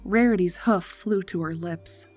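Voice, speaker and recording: A woman narrator reading a scripted horror story in a measured, dramatic way. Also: fairly low pitch, a little mellow, soft background music.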